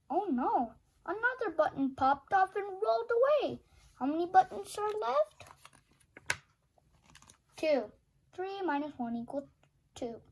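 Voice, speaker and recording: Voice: high-pitched, a bit bright. A young reader playfully reading a children's picture book aloud and putting questions to the listener. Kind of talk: children's storybook read-aloud with sung refrains.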